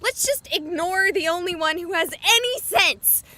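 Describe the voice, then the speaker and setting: A woman's voice: very high-pitched, animated, measured pace, background noise. An actress playing a sarcastic, chatty young woman streamer who talks to her viewers. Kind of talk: voiced game dialogue.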